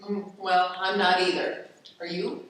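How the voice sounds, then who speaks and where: A woman's voice: fairly low pitch, flat delivery, unhurried, fairly bright tone. A woman acting a breezy, wisecracking sister in an amateur radio play. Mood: wry. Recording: very echoey room.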